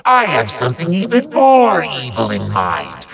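An actor playing a pompous, short-tempered evil sorcerer villain in a video game, with a gravelly, nasal voice and bombastic, sputtering theatrical delivery. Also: male voice, a little high, huge pitch swings, measured pace, recorded from a distance, crowd noise, heavily acted. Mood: grandiose, menacing.

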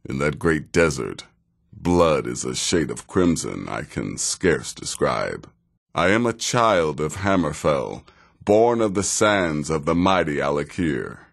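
A sardonic, deadly assassin from a fantasy game, a middle-aged desert warrior. His voice is extremely low and gravelly, with a slow, measured pace. The dry delivery still swings expressively and theatrically. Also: big pitch swings, heavily acted. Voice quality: very deep, gravelly. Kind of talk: fantasy video game character dialogue.

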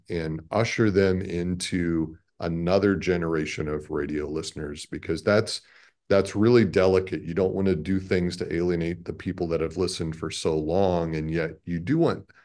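A man in his fifties giving measured, conversational answers as an interview guest, pausing as he thinks. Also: low voice, fairly even intonation, a little mellow. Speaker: radio station president, interview guest. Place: small indoor office, on a video call.

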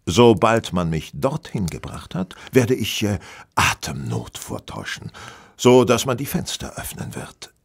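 Ein Schauspieler spielt in einem Hörspiel einen selbstsicheren, berechnenden Detektiv, der bestimmt und belehrend spricht. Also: male voice, fairly low pitch, very animated, gravelly, husky, full character voice. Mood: self-assured. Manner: self-assured, deliberate, instructive. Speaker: lead actor in an audio drama. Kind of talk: German audio drama dialogue.

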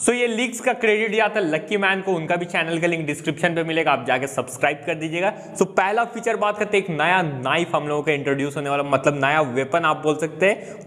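A man's voice: on the high side, fairly bright tone. A young man with an Indian accent giving upbeat, chatty commentary on a game update, mixing English words into his talk. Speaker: gaming YouTuber. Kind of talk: excited gameplay update commentary.

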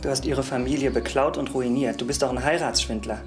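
Actor as an earnest, insistent young heir. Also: male voice, mid-range voice, steady tone, fairly quick, faint music behind, natural voice. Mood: accusing. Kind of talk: German crime radio drama acting.

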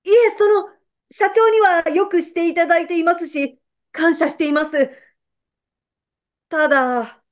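A woman's voice: extremely high pitch, fairly even intonation, unhurried, long pauses. A woman playing a meek, apologetic pheasant employee in a comedic radio drama, speaking hesitantly and with polite deference.

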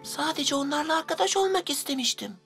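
A woman voicing a young, mischievous magical child who longs for friends, expressive and childlike, in a cartoon dub. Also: sits high, fairly quick. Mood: reflective. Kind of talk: animated children's series dub. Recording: music behind the voice.

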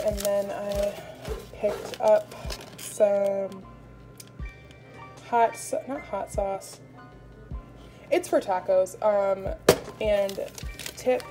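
A woman's voice: mid-range voice, warm tone. A young American woman talking casually and chattily to viewers as she narrates a vlog. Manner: chatty, informal. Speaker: vlogger. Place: indoors in a home kitchen. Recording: music playing behind the voice. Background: music.

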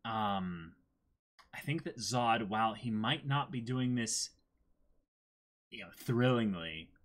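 A man's voice: lowish voice, a bit bright. A man in his twenties co-hosting a livestream, chatting casually and agreeably about what he and the viewers are discussing. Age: 20s. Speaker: co-host of a livestream reading out chat messages. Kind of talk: casual chat, discussing manga. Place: indoor room, seated.

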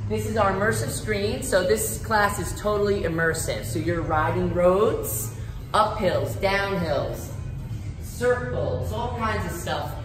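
A middle-aged woman, a cycling instructor, explaining bike setup in a brisk, matter-of-fact way. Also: medium pitch, expressive. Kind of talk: casual demonstration. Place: large gym cycling studio, indoors. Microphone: handheld phone camera. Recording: echoey studio room with music. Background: music playing.

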